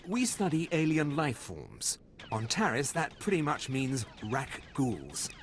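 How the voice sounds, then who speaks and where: A man's voice: medium pitch, huge pitch swings, slow, exaggerated voice. A man playing a worried, exasperated scientist in a video game, grave and pointed. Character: worried, exasperated male professor of xenozoology. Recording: noisy game ambience.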